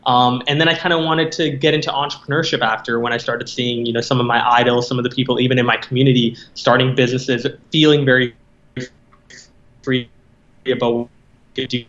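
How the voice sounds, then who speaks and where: A man in his twenties joins a podcast as a guest and tells his story in an easygoing, conversational way. His voice sits at a middling pitch. It comes through a dull, lo-fi line with a roomy echo around it. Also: flat delivery, brisk, a bit bright. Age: 20s. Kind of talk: podcast interview. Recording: dull, echoey remote line.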